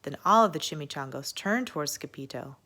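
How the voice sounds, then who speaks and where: Female children's story reader. Expressive narration, lower-pitched voice. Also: fairly quick. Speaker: story reader at an elementary school.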